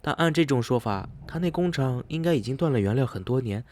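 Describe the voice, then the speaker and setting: Man playing a measured, educated, curious traveller. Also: medium pitch, lively intonation, darkish tone, lightly acted. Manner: measured, reasoning, inquisitive. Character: educated, rational, curious male traveller, calm and sceptical. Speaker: actor in an amateur student radio drama. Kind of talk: radio drama acting.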